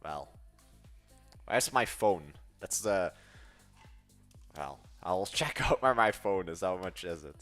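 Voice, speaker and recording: A man's voice: low-pitched, lively intonation, slow pace, long pauses, a bit bright, faint music behind. A young man with a Dutch accent chatting casually on a livestream, rambling and correcting himself as he goes. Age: young adult. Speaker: livestream host.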